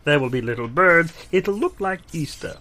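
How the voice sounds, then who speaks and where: A man's voice: a little high, expressive, normal speed, sharp tone. A man playing a courteous, fussy job interviewer at a greeting-card office in improvised audio comedy, polite and hesitant. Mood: earnest, fussy.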